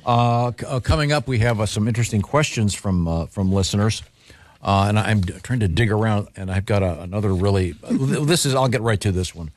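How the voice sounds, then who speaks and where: A man hosting a radio talk show, bantering casually and reading out listener questions in a halting, teasing way. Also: lowish voice, dry recording.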